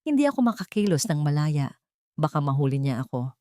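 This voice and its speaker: A woman's voice: low-pitched, big pitch swings, fairly quick, warm tone, no room sound, studio quality. A woman voicing a frightened young daughter who feigns meek obedience in an audio drama, timid and faltering. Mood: feigned fear.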